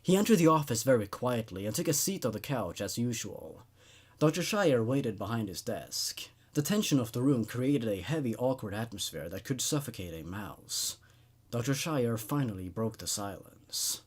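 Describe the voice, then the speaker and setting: A man's voice: mid-range voice, animated, moderate pace, slightly rough. A man reading a horror story aloud as host, in a dramatic narrating style.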